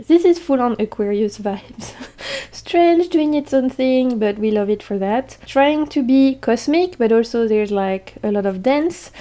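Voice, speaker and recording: Mellow-voiced chatty woman. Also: a little high. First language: French. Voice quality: chatty, enthusiastic, laughs often. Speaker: tarot deck collector. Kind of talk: casual vlog chat showing decks.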